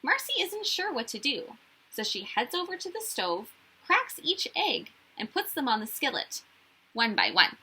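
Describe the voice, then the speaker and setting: A woman reads a children's picture book aloud. Her voice is fairly high and bright, slightly husky, and moves up and down with lots of expression. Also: sing-song, moderate pace, low-quality recording. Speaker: children's story reader.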